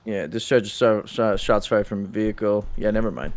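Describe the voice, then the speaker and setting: A man's voice: on the low side, a little fast. A man chatting casually and swearing now and then while playing a role-play game online. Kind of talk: casual gaming chat during role-play.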